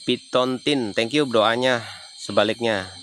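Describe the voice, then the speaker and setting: A man's voice: medium pitch, unhurried, fairly bright tone, faint music behind. A man from Banyumas with a Javanese accent, chatting casually on a livestream and answering viewers' comments. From Banyumas, Central Java. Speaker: livestreaming explorer.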